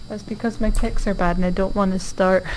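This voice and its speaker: A woman's voice: mid-range voice, steady tone, a little fast, a little mellow, slight background noise, poor audio. Bantering woman on game voice chat. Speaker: streamer's gaming partner.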